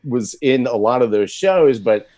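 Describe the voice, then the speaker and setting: A man's voice: medium pitch, expressive, measured pace, a little mellow, no room sound. A man, a voice actor, talking casually and thoughtfully in an interview, with many fillers and restarts. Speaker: voice actor guest.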